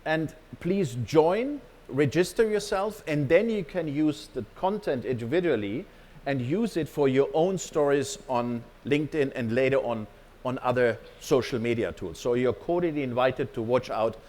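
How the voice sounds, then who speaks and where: A man's voice: medium pitch, expressive, normal speed, a little mellow. A man giving a company presentation to staff as an executive, partly off the cuff, emphatic and often checking in with his listeners.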